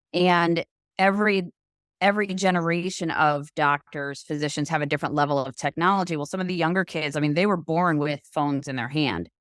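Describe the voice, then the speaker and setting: A woman podcast host with a notably low voice talks in a loose, rambling, enthusiastic way. She chats casually. The recording is dry and close. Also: a bit bright, no room sound. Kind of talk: casual conversational chat.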